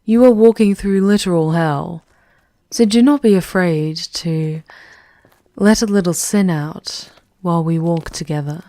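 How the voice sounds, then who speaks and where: Lady doing a demon queen for asmr roleplay, really slow, soft mellow voice, formal and world-weary, very dry close sound. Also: on the low side, dry recording. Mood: defiant, wry. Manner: measured, formal, dropping into breathy whispers. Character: regal, world-weary demon queen, once human, guiding a wanderer.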